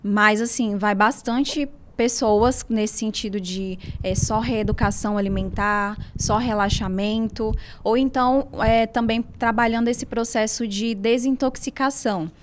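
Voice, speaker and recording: A woman guest physiotherapist with a Northeastern Brazilian accent who explains things in a measured way and often ends sentences with tag questions. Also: on the high side, steady tone. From Brazil, Ceará. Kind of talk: interview answers.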